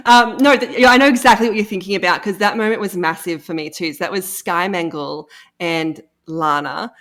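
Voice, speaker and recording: An Australian woman speaks as an interview guest. Her voice sits a little low. She chats casually and informally, with a joking manner. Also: brisk, fairly bright tone. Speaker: guest, audio drama co-creator.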